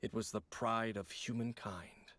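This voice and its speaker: An actor playing a composed, secretive, knowing man in an anime-style video game, speaking in a measured, solemn, formal way. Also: lowish voice, fairly even intonation, fairly bright tone, a little hiss or hum. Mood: grave, proud.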